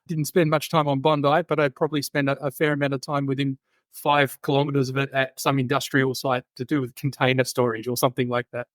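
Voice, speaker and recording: A man's voice: medium pitch, level tone, fairly quick, dry recording. A middle-aged man with an Australian accent, a guest on a podcast, talking casually and often repeating words as he gathers his thoughts. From born in England, raised in Australia and New Zealand. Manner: hesitant, repeats words while thinking. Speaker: guest, safety specialist. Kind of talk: conversational podcast interview.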